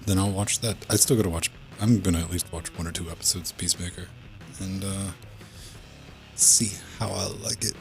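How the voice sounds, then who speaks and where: A man's voice: low voice, fairly even intonation, fairly quick, faint music behind. A man hosting a podcast, rambling casually with joking asides. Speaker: podcast host.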